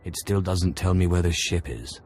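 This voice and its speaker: A very deep-voiced, imperious dark lord played by a man for a sci-fi game. He speaks with cold, haughty command and quiet threat, over clear background noise. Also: moderate pace, lightly acted. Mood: curt, cool. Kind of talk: video game voice acting.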